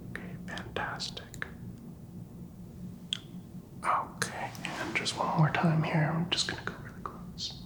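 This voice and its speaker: A man speaking in a soft, breathy whisper, playing a doctor in an ASMR medical exam, gentle, halting and reassuring. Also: a little high, completely flat delivery. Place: small doctor's office.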